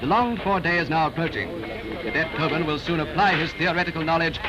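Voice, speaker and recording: A man's voice: a little high, fast, a loud crowd, rough recording. A British man reading scripted 1930s newsreel narration in a grandiose, declamatory, rousing manner. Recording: old film soundtrack from VHS, loud music. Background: loud newsreel music.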